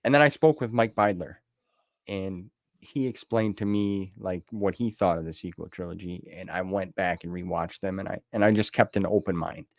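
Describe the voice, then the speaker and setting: Male podcast host: fairly low voice, dry recording, informal and easygoing conversational delivery. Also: moderate pace, no echo. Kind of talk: casual chat interview.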